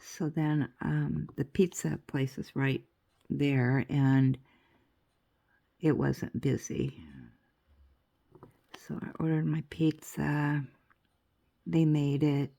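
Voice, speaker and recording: Slow, gravelly older woman chatting. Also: low voice, level tone, mellow. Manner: rambling, chatty, laughs often. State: stuffy nose. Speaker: crafting vlogger. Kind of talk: casual chatty vlog.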